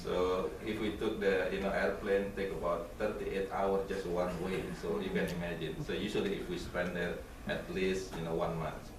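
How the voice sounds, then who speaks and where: A man, introducing himself at a council meeting in a halting way, full of fillers. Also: deep voice. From Indonesia. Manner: halting, frequent fillers and restarts. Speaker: college professor speaking at public comment. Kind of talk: informal public introduction. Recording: echoey room picked up from far off, steady noise.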